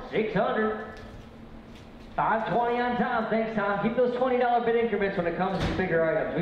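A man calling bids at a live auction in a casual, slangy way. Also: high voice. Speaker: auction bid caller. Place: large indoor auction room. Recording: very echoey hall, dull sound, faint noise.

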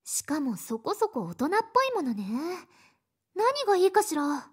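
A woman playing a bright, ladylike teenage schoolgirl in a Japanese anime game, with a sweet, friendly manner. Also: very high-pitched, very animated, normal speed. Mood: thoughtful, cheerful.

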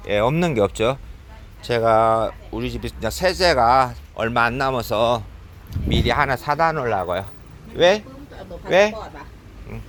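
Bright-voiced chatty man with a cold. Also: medium pitch, lively intonation. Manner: chatty, fills pauses with frequent "yes". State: has a cold. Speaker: vlogger. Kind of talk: casual running commentary while shopping. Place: convenience store in Laos.

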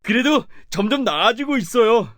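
A man playing a poor, simple young woodcutter in a children's folktale, earnest and excitable. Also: extremely high pitch, normal speed, very noisy. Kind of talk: children's animated folktale acting.

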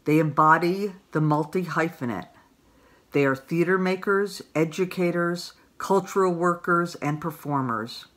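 A middle-aged woman introducing award winners in a scripted talk to camera, measured and grateful. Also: on the low side. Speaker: host presenting awards. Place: small room indoors.

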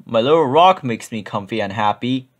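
A man's voice: mid-range voice, animated, normal speed, pitched below the performer's own voice, lightly acted. A man voicing a mild, helpful turtle in a children's storybook.

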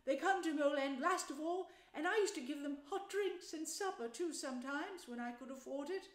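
A woman plays a gentle little storybook mole, a high-voiced fellow who wears his feelings openly. He speaks earnestly and eagerly, in a room with plenty of echo. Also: animated, moderate pace. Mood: touched pride. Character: gentle male mole, proud, eager, easily distressed host. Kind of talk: children's storybook character reading.

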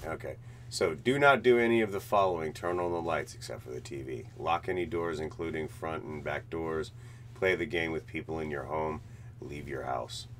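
A man's voice: a bass voice, normal speed, fairly bright tone. A middle-aged man hosting a casual reaction stream, reading on-screen text aloud and chatting in a muttering, wry way. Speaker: host of a reaction show. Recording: noisy home livestream audio.

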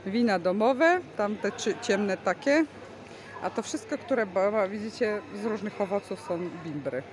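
A woman's voice: mid-range voice, expressive, unhurried. Enthusiastic woman vlogger in a busy market. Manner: enthusiastic, chatty commentary. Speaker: vlog host. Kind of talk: casual market-tour vlog, showing and explaining goods. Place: large covered market hall. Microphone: handheld phone camera, speaker behind it. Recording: busy market crowd noise. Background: bustling market crowd.

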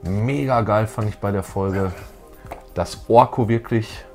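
A man's voice: lowish voice, unhurried, a little mellow, faint music behind. A middle-aged man co-hosting a casual, enthusiastic retro fan chat indoors. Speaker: co-host of retro fan show. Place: small room full of collectibles, indoors.

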